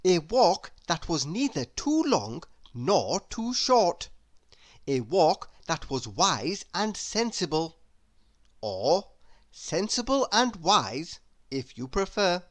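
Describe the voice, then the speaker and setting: A woman narrating a children's story in a measured, expressive read-aloud style. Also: lowish voice. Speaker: storybook reader.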